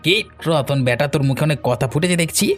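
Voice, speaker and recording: A man's voice: a little high, quick pace, fairly bright tone, faint music behind, natural voice. A man playing a swaggering, threatening village bully and henchman in a cartoon. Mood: menacing. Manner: threatening, taunting. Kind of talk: cartoon dialogue acting.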